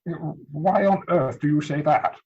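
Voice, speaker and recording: Man playing flustered gentleman suitor, dull lo-fi audio. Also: a little high, measured pace, husky. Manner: formal, mannered, indignant delivery. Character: earnest, easily flustered upper-class young gentleman suitor. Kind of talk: dramatic reading of a period stage comedy. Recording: dull lo-fi video-call audio.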